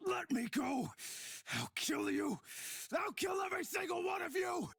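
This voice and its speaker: A man's voice: extremely high pitch, animated, a little slow, gravelly, no echo, clean studio sound, exaggerated voice. A man playing a vengeful, anguished and savage demon-bound captive in a visual novel, with a menacing, dramatic delivery. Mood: furious. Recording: loud music behind the voice.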